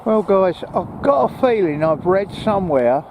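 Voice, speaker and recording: Guy with a pretty high voice doing a walking vlog, chatty n bit hesitant, windy noisy outside. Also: expressive, unhurried, narrow, tinny audio. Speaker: vlogger. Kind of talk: casual chat to camera while walking. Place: outdoors in a park. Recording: noisy outdoor recording with wind.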